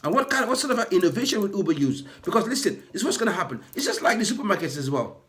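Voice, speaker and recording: A man who works as a ride-hailing driver, hoarse from losing his voice, delivering an indignant, repetitive rant to camera for his vlog. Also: high voice, big pitch swings, racing, fairly bright tone. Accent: British. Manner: indignant, repetitive, building to impassioned outbursts.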